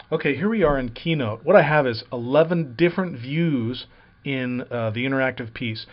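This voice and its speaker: A man talking through a software tutorial over a screen recording, explaining plainly with frequent uh and um fillers. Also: medium pitch, muffled recording.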